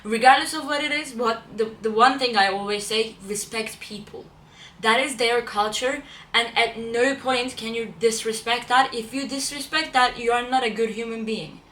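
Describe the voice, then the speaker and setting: A woman's voice: a little high, moderate pace, fairly bright tone, recorded from a distance, slight background noise. A young woman from Turkey whose first language is Turkish, talking casually to camera, chatty and often restarting her phrases. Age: young adult. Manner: chatty, repeats and restarts phrases, self-correcting. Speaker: vlogger. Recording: echoey room, voice a bit off-mic.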